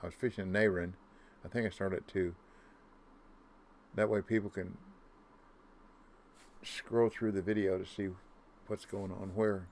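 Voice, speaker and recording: A man with a deep voice giving casual commentary on his own gameplay, muttering to himself and humming nonsense tunes. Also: level tone, a little slow, pauses often, darkish tone, a little hiss or hum. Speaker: gaming YouTuber.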